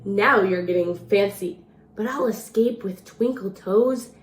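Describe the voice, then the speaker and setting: A woman's voice: mid-range voice, measured pace, close to an everyday voice. A woman voicing a boastful, mischievous old male leprechaun in a rhyming picture-book read-aloud, teasing and playful, in a roomy space. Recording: roomy echo, faint background noise.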